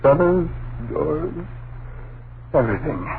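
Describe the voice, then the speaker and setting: An American actor playing a sleepy, dismissive husband and father in an old-time radio suspense drama, gruffly reassuring in slangy, drawn-out exasperation. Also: on the high side, animated, exaggerated voice. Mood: weary reassurance. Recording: tinny, noisy old radio recording.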